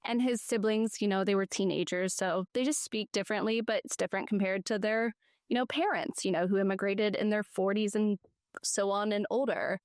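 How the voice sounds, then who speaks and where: A woman with a Valley accent hosts a relaxed podcast chat. Her voice sits in a middle pitch. She listens closely and answers with short affirmations. The recording is dry and close. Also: a little fast, no room sound. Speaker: podcast host. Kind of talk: casual interview.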